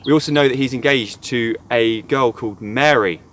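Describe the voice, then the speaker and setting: A man's voice: mid-range voice, normal speed, slight background noise. A man, a church youth worker, gives an earnest, reflective devotional talk retelling a Bible story.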